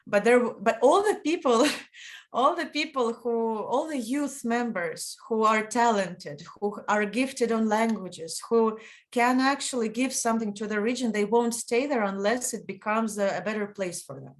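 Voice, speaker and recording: A woman's voice: a little high, normal speed. A young woman from northeast Estonia speaking on an online panel, hesitant, with many fillers and restarts. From Ida-Viru County, Estonia. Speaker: youth panelist.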